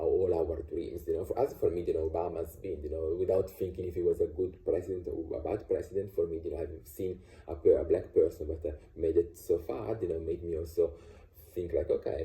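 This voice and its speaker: A man's voice: deep voice, steady tone, fairly quick, mellow. A man, talking casually about his views with many fillers and restarts. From Italy. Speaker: vlogger sharing personal views. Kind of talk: casual unscripted monologue. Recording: echoey room.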